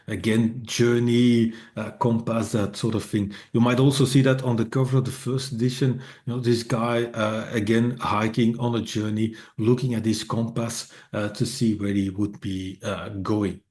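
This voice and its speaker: Middle-aged man, first language Dutch, mellow dark tone, halting with many fillers, wry online talk. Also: fairly low pitch, little pitch movement. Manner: hesitant, many fillers and restarts. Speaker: author and speaker. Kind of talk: casual online meetup presentation. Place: online video meetup.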